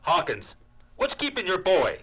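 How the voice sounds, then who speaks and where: Man playing a bright, insistent, impatient father. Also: sits high, huge pitch swings, a little slow, slightly rough, heavily acted. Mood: proudly impatient. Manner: pointed, insistent questioning. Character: proud, impatient father of a girl awaiting her date. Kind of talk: 1940s radio sitcom acting.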